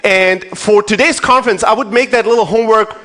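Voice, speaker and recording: A man's voice: high voice, brisk, fairly bright tone. A man in his thirties from Germany gives an informal motivational conference talk, swearing freely, poking fun at himself and checking in with his listeners. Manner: profane, self-deprecating, checks in with the audience. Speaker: conference keynote speaker.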